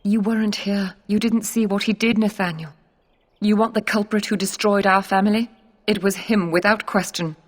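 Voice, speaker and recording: An actress playing a warm, frank young noblewoman and loving sister in a video game, speaking earnestly and directly. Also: medium pitch, steady tone, moderate pace, close to an everyday voice. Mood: firm.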